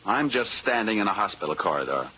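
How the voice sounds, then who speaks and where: A man's voice: on the low side, big pitch swings, quick pace, pushed and bright, a little hiss or hum, poor audio. An American man playing a wisecracking, sardonic hard-boiled insurance investigator in 1940s radio detective style. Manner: wry, glib, sardonic. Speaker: radio drama lead and narrator. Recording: old 1940s radio recording with music.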